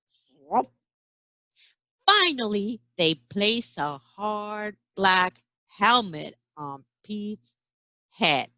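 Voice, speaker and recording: A woman reads a picture book to young children in a bright, fairly high voice. She takes her time and delivers it expressively, like a teacher, adding sound effects and chatty questions. The sound is close and dry. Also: no room sound. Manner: acts out sound effects and exclamations. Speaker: early education teacher.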